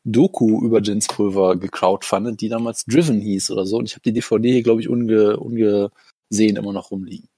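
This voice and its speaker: Gravelly, husky-voiced man, dry room. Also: fairly low pitch, steady tone, brisk, mellow, dry recording. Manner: dry, ironic humor. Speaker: podcast co-host. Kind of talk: casual chat.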